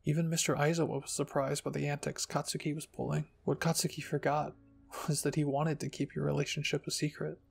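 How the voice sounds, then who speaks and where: A man with a slightly high, creaky voice reads a story in a soft ASMR style. His delivery is even and husky, with light music behind him. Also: a little fast. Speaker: narrator and channel host. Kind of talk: ASMR fan fiction reading.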